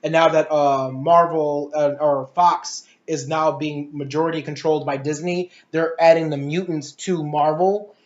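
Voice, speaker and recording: A woman's voice: sits low, steady tone, measured pace, few pauses, darkish tone. A woman giving an opinionated, casual movie review, ranting emphatically with swearing and a rambling, exasperated delivery. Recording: roomy echo, dull lo-fi sound.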